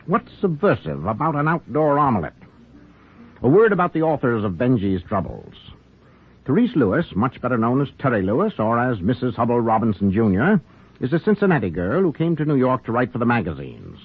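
Male radio-theater host, 1940s; urbane, lively delivery, husky, on a thin narrow-band recording. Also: mid-range voice, a little fast. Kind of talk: scripted 1940s radio narration.

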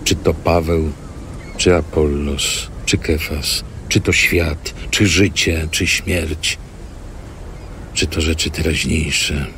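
An actor playing a solemn apostle exhorting his congregation in a scripture audio drama, gravelly and deliberate. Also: rumbling low, bright, forceful tone, a little hiss or hum, heavily acted. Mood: emphatic.

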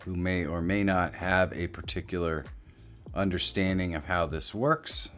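A middle-aged man hosting a casual software tutorial. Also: low-pitched, a little slow, soft background music. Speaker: tutorial host. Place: small indoor home studio.

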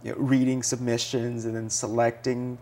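A man in his thirties, a Vietnamese-speaking poet and editor, answering interview questions in a relaxed, thoughtful way with many fillers. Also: medium pitch, normal speed, a little hiss or hum. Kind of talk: TV interview guest. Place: small TV studio set. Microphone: lapel mic.

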